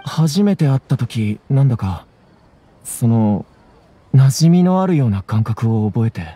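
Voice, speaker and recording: A man's voice: medium pitch, very animated, a little slow, mellow, husky, faint music behind. A man voicing a humble, puzzled civilian in a Japanese video game, speaking hesitantly.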